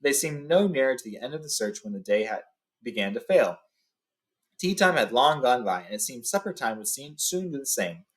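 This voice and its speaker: A man's voice: medium pitch, big pitch swings, moderate pace. A man hosting a book read-along, informally telling and reading a story aloud.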